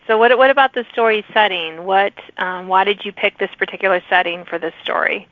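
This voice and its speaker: A woman's voice: mid-range voice, fairly quick, no room sound. A woman hosting a podcast, interviewing a guest in a conversational style. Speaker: podcast host. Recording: dry, thin phone-like audio.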